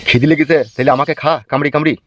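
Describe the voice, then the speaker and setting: Guy doing highish fast bengali cartoon uncle, broke and grumbly, blunt. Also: little pitch movement, natural voice. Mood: bitter, grumbling. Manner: complaining, blunt, melodramatic. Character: grumbling, destitute uncle from the desert. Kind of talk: comedy cartoon dialogue. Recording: music behind the voice.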